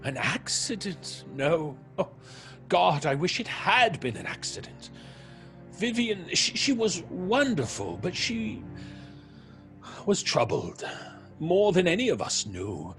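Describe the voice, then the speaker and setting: A man playing a troubled theatre actor in an audio horror drama, speaking haltingly with dramatic pauses. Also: high-pitched, big pitch swings, a bit bright, faint music behind, full character voice. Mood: grieving, haunted. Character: troubled theatre actor, haunted by a past tragedy.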